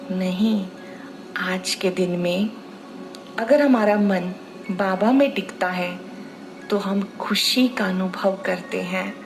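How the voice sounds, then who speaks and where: A woman leads a guided meditation at a very unhurried pace. Her manner is devotional and instructive, with soft music faintly behind her. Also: medium pitch, low-quality recording. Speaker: meditation leader, spiritual teacher.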